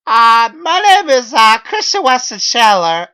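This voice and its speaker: A man voicing an awkward, whiny, earnest geeky man in his twenties in a halting, drawn-out way, for a dramatic story reading. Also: extremely high pitch, big pitch swings, bright, forceful tone, clear, resonant tone, voice pushed up, heavily acted. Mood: awkward, hesitant.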